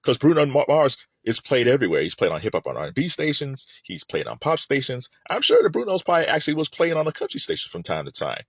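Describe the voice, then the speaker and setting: A man's voice: mid-range voice, huge pitch swings, fast talker, few pauses, husky, no room sound, studio quality. A middle-aged man giving opinionated, unscripted music commentary in an emphatic, conversational way.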